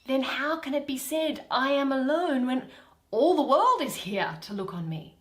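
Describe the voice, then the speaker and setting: A woman acts a lovesick young heroine of Shakespeare, imploring and stubbornly devoted. Her pitch runs high and leaps about, and the lines unfold slowly in an echoing room. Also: animated. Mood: adoring. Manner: impassioned, imploring. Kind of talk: dramatic Shakespeare reading.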